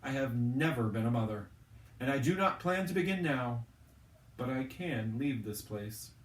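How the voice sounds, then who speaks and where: Man as a dry undead gentleman, echoey. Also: mid-range voice, lively intonation, normal speed, lightly acted. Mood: dry, measured. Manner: dry, matter-of-fact. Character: dry, reserved, worldly undead gentleman guardian. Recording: roomy, echoing, distant from the mic.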